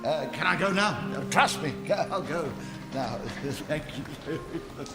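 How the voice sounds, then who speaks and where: An actor playing a fearful, humbled old miser in a theatrical, halting manner for a musical radio drama. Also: male voice, a little high, expressive. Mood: nervous, pleading. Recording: long hall reverb, loud music underneath.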